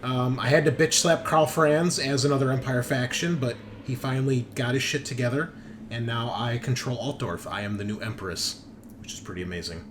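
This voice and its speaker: Male game master, casual and bantering with salty language; clear background noise. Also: mid-range voice, steady tone, brisk. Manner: profane, bantering. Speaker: game master and host of tabletop RPG show. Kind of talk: casual chat and session recap.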